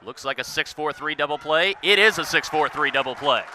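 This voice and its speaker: A man's voice: fairly high pitch, brisk, bright, forceful tone, a faint crowd. A young man calling a game live as a play-by-play sports announcer. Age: young adult. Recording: noisy arena and ballpark ambience. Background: sports venue noise, bouncing basketballs.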